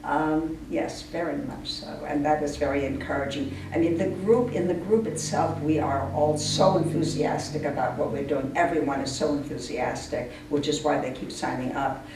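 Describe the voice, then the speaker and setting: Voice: mid-range voice, fairly even intonation, normal speed, hardly any pauses. A person explaining things earnestly in an informal interview, as the leader of a poetry reading group. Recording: echoey distant room recording, clear noise.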